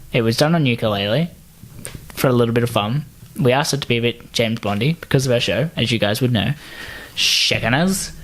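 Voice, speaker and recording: A man's voice: medium pitch, a bit bright. A man co-hosting a podcast with jokey, self-mocking, sweary banter. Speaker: podcast co-host. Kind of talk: casual banter.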